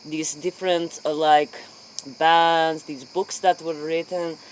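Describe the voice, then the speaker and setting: Woman playing a slow, halting interviewer. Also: low voice, flat delivery, slight background noise, poor audio. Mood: earnest. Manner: halting, repetitive, formal. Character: solemn Eastern European female late-night interviewer. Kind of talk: improvised spoof interview in character.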